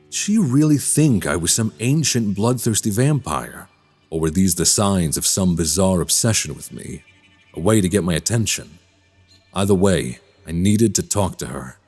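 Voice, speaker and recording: An actor playing a polite, troubled man suspected of being a vampire in a radio drama, speaking in measured, formal storyteller phrasing. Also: deep voice, animated, darkish tone, slightly rough, soft background music. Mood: brooding, uneasy. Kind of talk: immersive radio drama acting and narration.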